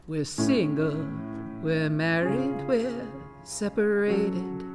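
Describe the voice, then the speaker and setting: A woman's voice: medium pitch, lively intonation, extremely slow, loud music behind the voice. An actress playing a worldly woman in a musical comedy radio number, delivering theatrical patter. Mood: wry.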